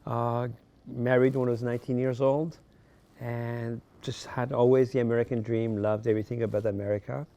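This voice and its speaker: A man in his sixties from Morocco, raised in France, telling his life story as a podcast guest in a halting way, often repeating words. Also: mid-range voice, little pitch movement, mellow. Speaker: podcast guest, fashion designer. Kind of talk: casual interview storytelling.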